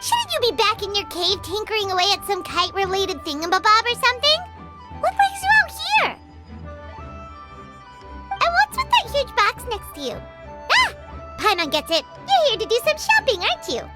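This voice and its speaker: A tiny, childlike fairy companion from a video game. Her voice is extremely high and squeaky, with bouncy, animated intonation. She is blunt, cheeky and excitable, and loud music plays behind her. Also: normal speed. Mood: cheeky, exasperated. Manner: speaks of herself in third person, blunt, chirpy.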